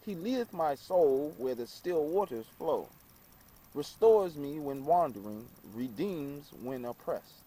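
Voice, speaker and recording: A man reading an old book aloud in a halting way, stumbling over words and repeating phrases. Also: a little high, expressive, dark tone, rough recording. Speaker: reader.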